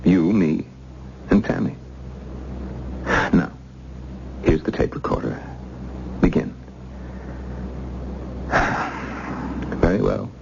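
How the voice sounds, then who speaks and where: An actor playing a menacing, coldly polite interrogator with a silky, taunting manner in an old radio thriller. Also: male voice, sits low, very slow, gravelly, pauses often, exaggerated voice. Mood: calm menace. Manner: silky, mock-courteous, taunting. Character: menacing male interrogator, coldly polite villain threatening torture. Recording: muffled, hissy old radio recording.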